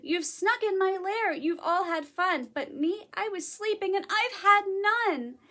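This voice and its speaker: A woman voicing a grumpy, self-pitying male bear in a children's storybook, loud and pouting. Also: very high voice, a little slow, voice pushed up, exaggerated voice. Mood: aggrieved, pouty.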